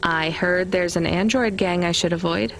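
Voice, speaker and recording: A woman's voice: on the low side, normal speed, gravelly, slight background noise. A woman voicing a wry, level-headed young delivery driver in a video game, with a dry, questioning manner. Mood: curious, wry. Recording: music behind the voice.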